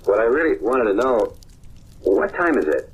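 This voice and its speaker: A man's voice: medium pitch, big pitch swings, normal speed, muffled recording, slight background noise, lightly acted. An actor playing an anxious, apologetic man in an old-time radio mystery, hesitant and stammering. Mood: sheepish.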